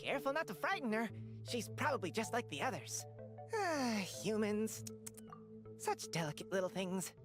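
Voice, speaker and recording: A woman's voice: on the high side, sing-song, moderate pace. A woman voicing a condescending, teasing talking squirrel in an anime video game. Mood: mock pitying. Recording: music playing behind.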